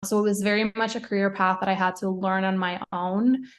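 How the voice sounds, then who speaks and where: A young woman from Puerto Rico talks through her answers in a relaxed interview. Her pitch stays flat and even, and she keeps adding fillers and quick restarts. Her laughs come often and lightly. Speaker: podcast guest, fashion writer.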